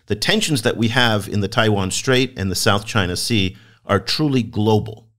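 A man's voice: on the low side, moderate pace, a bit bright. A man hosting a podcast on world affairs, introducing a guest with enthusiasm and asking interview questions. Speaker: podcast host.

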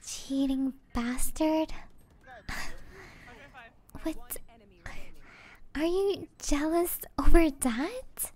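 A young woman playing an affectionate, teasing gamer girlfriend in a soft-spoken ASMR roleplay, breathy and often half-whispered, with hesitant pauses. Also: sits high. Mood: flustered, teasing. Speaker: roleplay voice actor.

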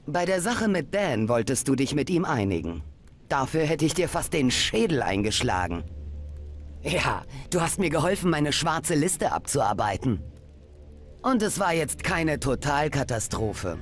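Blunt, expressive grudging comrade. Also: male voice, on the high side, measured pace, faint music behind. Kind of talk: dramatic video game acting.

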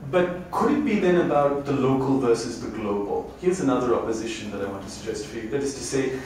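A man's voice: medium pitch, lively intonation, brisk, a little mellow, recorded from a distance. A man in his fifties moderating a public conversation, framing thoughtful, academic questions on a lecture stage with a handheld mic. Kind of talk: interview questions. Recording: echoey room, recorded from afar, faint noise.

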